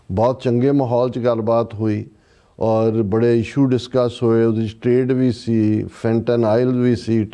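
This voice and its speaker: A man's voice: medium pitch, clear, resonant tone, lo-fi sound. A man with a Punjabi accent gives conversational political commentary as a talk show commentator, in a sardonic, emphatic manner.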